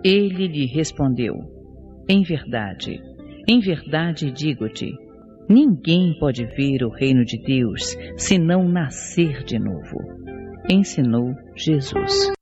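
A man slowly reciting a scripture quote. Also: fairly high pitch, animated, dull audio, music in the background.

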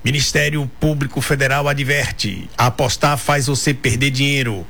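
Chatty radio host. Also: male voice, medium pitch, steady tone, moderate pace, creaky, sharp tone. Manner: chatty, joking, reads news aloud. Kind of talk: casual radio talk show.

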